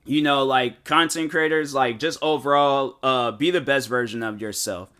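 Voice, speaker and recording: Young male podcast host, bright forceful voice, rambling pep-talk delivery. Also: mid-range voice, fairly even intonation, normal speed, clean tone. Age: 20s. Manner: rambling, motivational, heavy filler words. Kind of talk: casual solo podcast monologue.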